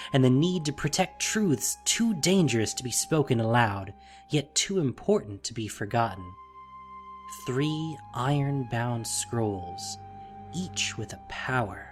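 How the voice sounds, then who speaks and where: A narrator reading scripted fantasy drama in an expressive, deliberate storytelling manner. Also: mid-range voice. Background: faint music.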